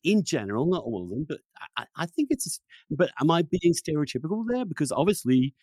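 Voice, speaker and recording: A man's voice: a little high, sing-song, quick pace, darkish tone, breathy edge, no room sound. A middle-aged or older man with an English accent hosts a conversational interview, often repeating words, restarting and wandering off his point. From England. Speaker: podcast host and interviewer.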